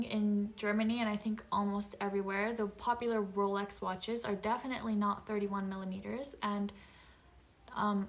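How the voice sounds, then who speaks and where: A woman from Japan whose first language is Japanese, chatting casually to camera in a vlog. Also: mid-range voice. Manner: chatty, conversational, frequent filler words. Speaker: vlogger. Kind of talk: casual unboxing chat.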